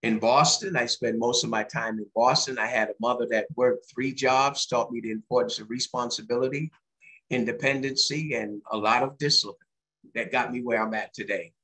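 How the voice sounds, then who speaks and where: A man from Barbados introduces himself as a candidate over a video call. His voice is bright and forceful at a middle pitch. He talks in a plain, sincere way. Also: fairly even intonation, moderate pace, low-quality recording. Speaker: political candidate.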